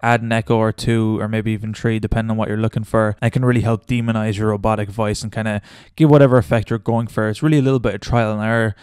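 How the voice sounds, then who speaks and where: A man hosting a casual step-by-step software tutorial in an informal, instructional way, as a close voice-over. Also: fairly low pitch, clean tone, no echo. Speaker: tutorial host.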